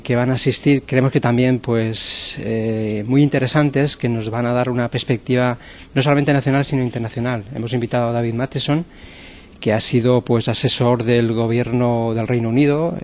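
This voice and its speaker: A man's voice: mid-range voice, darkish tone. A man with an accent from Spain presents an event programme, speaking hesitantly and often repeating phrases as he goes. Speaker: university event coordinator.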